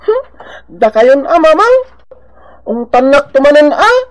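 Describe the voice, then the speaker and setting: A woman's voice: high voice, big pitch swings, unhurried. A woman voicing a young schoolchild of a poor family in a radio drama, pleading and reluctant.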